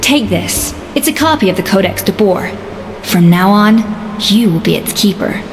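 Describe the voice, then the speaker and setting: A woman's voice: mid-range voice, animated, moderate pace, noisy background, natural voice. An actress voicing a proud, exacting young woman chef in a video game, articulate, earnest and assertive. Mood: firm, urging.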